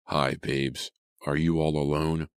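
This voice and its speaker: A man voicing a menacing anonymous phone caller in a hoarse, taunting whisper for a suspense audiobook. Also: rumbling low, level tone, slow, no echo. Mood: taunting menace.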